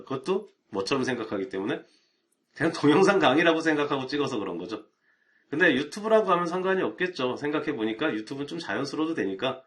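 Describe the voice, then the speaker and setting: A man's voice: mid-range voice, fairly bright tone. A middle-aged man, an IT networking instructor, talking casually and chattily to viewers on a live stream, with rhetorical asides.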